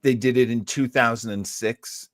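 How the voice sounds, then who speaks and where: A man's voice: medium pitch, steady tone, moderate pace, fairly bright tone, dry recording, studio quality. A man hosting a podcast in a casual, conversational way. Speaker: podcast host.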